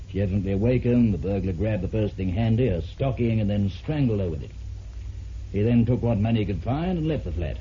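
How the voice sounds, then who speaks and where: Male actor as gruff inspector, dry, low voice, muffled vintage radio drama. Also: mellow, a little hiss or hum, close to an everyday voice. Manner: blunt, dry.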